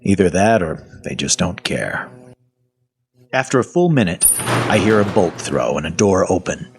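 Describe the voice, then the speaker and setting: An actor playing a weary, sardonic captive who narrates his own horror story in wry, deadpan, clipped phrases with a husky voice. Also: low voice, unhurried, exaggerated voice. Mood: wry narration. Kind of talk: first-person audio fiction narration.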